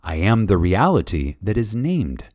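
A man reading a spiritual lecture dramatically, with reverence, emphasis and deliberate pauses. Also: on the low side, big pitch swings, darkish tone, dry recording, clean studio sound. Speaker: reader of a lecture.

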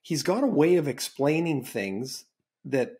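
A middle-aged man co-hosting a podcast on a video call, asking relaxed, conversational questions. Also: fairly high pitch, measured pace. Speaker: co-host. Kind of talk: casual interview chat. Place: home office.

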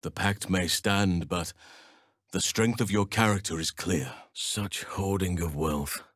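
A man voicing an ancient, earnest elf druid in a video game, speaking in a calm, formal and solemn way. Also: deep voice, dry recording, clean studio sound, full character voice. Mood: thoughtful, solemn. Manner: measured, formal, solemn phrasing. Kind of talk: video game character dialogue.

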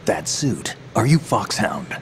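An actor playing a gruff, world-weary soldier in a video game, gravelly, terse and sardonic. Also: male voice, fairly low pitch, big pitch swings, slow pace, a little hiss or hum. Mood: guarded. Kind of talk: video game cutscene acting.

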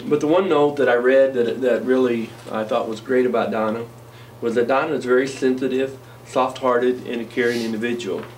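A man's voice: medium pitch, a little mellow, slight background noise. A middle-aged man presenting a staff award at a school board meeting, sincere and hesitant with many ums. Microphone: far camera. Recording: distant voice in a meeting room.

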